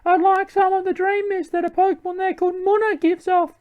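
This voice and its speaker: A man voicing a friendly young woman game character in a strained falsetto, reading her dialogue aloud. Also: flat delivery, a little fast, narrow, tinny audio. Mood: helpful.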